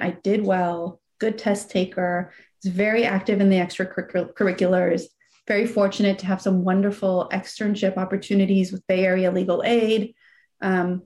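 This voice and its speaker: A woman born in Iran, a nonprofit executive director, chatting as an interview guest and telling her story with small laughs and fillers. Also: medium pitch. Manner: warm, reflective storytelling with soft laughs and fillers. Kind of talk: casual interview chat.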